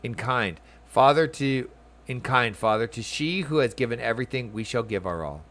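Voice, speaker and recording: A man's voice: mid-range voice, expressive, normal speed, fairly bright tone, lightly acted. A man voicing a dutiful, earnest son from a fantasy game, formal and resolute.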